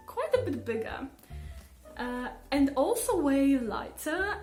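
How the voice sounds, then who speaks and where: High-pitched enthusiastic woman in a roomy space. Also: darkish tone. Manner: enthusiastic, emphatic. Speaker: vlogger. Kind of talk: casual chat to camera about favourites. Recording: roomy echo, faint music behind. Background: faint background music.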